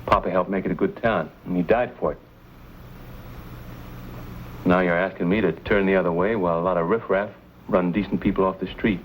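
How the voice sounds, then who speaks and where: A man's voice: sits low, fairly even intonation, fairly quick, pauses often, highs cut off, noisy background, close to an everyday voice. An actor playing a proud, stubborn young frontier man in a Western drama, speaking in earnest, folksy words. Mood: resolute.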